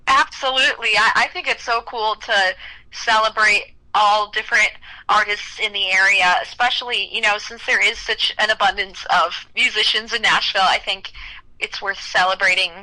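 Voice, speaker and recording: Bright, bubbly young woman on a phone line. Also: mid-range voice, fairly even intonation, normal speed. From Cleveland, Ohio. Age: young adult. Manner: bubbly, enthusiastic, laughs easily. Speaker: country singer, guest. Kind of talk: casual interview conversation.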